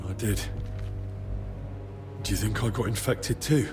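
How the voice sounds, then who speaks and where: An actor playing a plain-spoken, persistent young adventurer in a video game, with a frank, wry and informal manner. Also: male voice, lowish voice, a little slow, natural voice. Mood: worried. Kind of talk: video game cutscene acting. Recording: reverberant, clear music behind.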